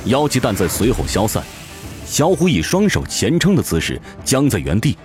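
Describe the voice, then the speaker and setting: A man's voice: mid-range voice, huge pitch swings, normal speed. A man narrating a fantasy audio drama from a script, with intense, theatrical storytelling. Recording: music behind the voice.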